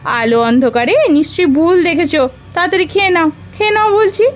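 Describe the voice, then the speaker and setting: A woman playing a lively, practical, easily worried young wife in a horror cartoon, chatty and expressive. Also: very high-pitched, brisk, faint music behind. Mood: insistent, worried.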